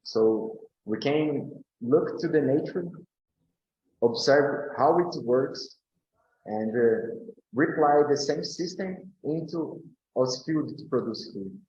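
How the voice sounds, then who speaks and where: Man, unhurried, hesitant, echoey room, muffled sound. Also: mid-range voice, animated, darkish tone. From Brazil. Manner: slow and halting, many fillers and restarts. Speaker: agroecology speaker. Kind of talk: panel talk answering questions. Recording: roomy, dull recording.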